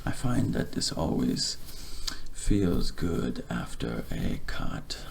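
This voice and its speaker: A man speaking softly in a breathy half-whisper, gently soothing and attentive, as a barber in an ASMR roleplay. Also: very deep voice, sing-song, slow pace, slight background noise. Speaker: ASMR performer playing a barber.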